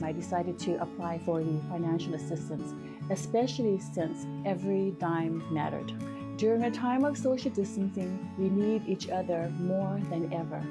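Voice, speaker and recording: Female testimonial voice, plain and personal, guitar behind. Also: mid-range voice. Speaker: testimonial speaker in an ad. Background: music.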